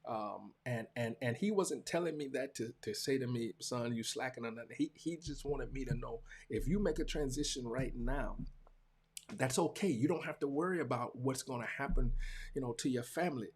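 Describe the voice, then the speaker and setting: A Southern American man in his forties with a husky, creaky voice at a middling pitch. He speaks to his audience in an earnest, reflective way, halting and circling back to repeat phrases, like a preacher talking casually. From small-town Georgia, US. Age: 40s. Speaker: preacher hosting a talk show. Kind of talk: casual reflective monologue to viewers.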